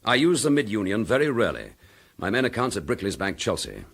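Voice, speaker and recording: A dogged, defiant detective under accusation in a radio drama. His voice is low and husky, with lively movement in pitch. He speaks firmly and in measured phrases, and he holds his ground. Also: full character voice. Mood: confident. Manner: firm, measured and indignant. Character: accused male chief inspector, dogged and defiant. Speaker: police detective.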